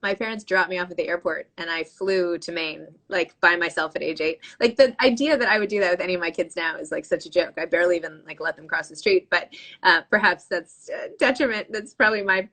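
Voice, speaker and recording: A woman's voice: on the low side, fast talker, fairly bright tone. A woman hosting a book podcast, chatting casually on a video call in a self-deprecating way with lots of fillers. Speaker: podcast host. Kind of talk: casual interview chat.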